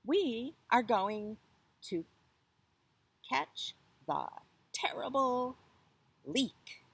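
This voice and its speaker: A proud, mighty tiger from a children's storybook, played by a woman. The delivery is slow and declamatory, swinging widely in pitch with a husky edge. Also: expressive, heavily acted. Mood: resolute. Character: proud, boastful tiger, mighty forest beast. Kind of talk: children's folktale read aloud.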